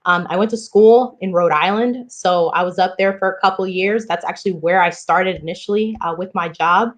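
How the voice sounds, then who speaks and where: Candid, quick-talking woman, low voice. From Dominican Republic. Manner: candid, quick storytelling with frequent ums. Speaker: podcast guest, part-time real estate investor. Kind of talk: casual interview talk.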